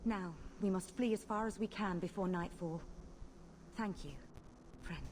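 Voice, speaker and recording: A woman's voice: medium pitch, unhurried, a little mellow, slight background noise, lightly acted. An actress playing a hunted female mage fugitive in a video game, speaking earnestly and formally. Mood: grateful.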